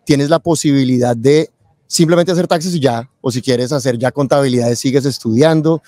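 A man speaks as a guest in a casual interview. His voice is mellow and relaxed, at a middling pitch. The sound is dry and close to the microphone. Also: normal speed, no echo. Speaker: interview guest, tax lawyer and accountant. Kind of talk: casual interview, telling own story. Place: convention event booth, indoors. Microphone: close boom-arm microphone with headset.

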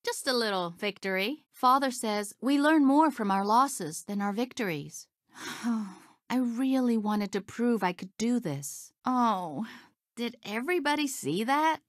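A plucky young heroine of a video game, a warrior with a good heart. Her voice sits fairly high and swings widely in pitch as she delivers short, earnest lines. The sound is dry and close, with no room on it. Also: unhurried, no echo. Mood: triumphant, then disappointed. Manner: earnest, expressive, clearly enunciated short lines. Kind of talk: video game character voice clips.

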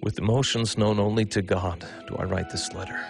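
An actor reading old letters as a devout 19th-century husband, earnest, formal and solemn, for a documentary. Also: male voice, lowish voice, steady tone, fairly quick, creaky, soft background music. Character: devout 19th-century husband writing tenderly to his wife.

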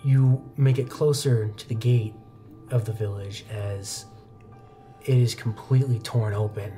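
A young man narrating a horror tabletop roleplaying game as game master, with deliberate, suspenseful descriptions and dramatic pauses. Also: lowish voice, fairly even intonation, dark tone. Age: young adult. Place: indoor studio game set at a desk. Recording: music playing behind the voice. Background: background music.

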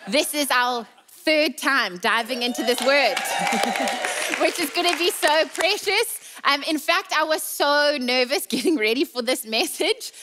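A woman's voice: high-pitched, lively intonation, unhurried, pushed and bright, a little hiss or hum. A woman in her thirties with a South African accent, preaching a sermon in a chatty, playful, teasing way on a large church stage. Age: 30s.

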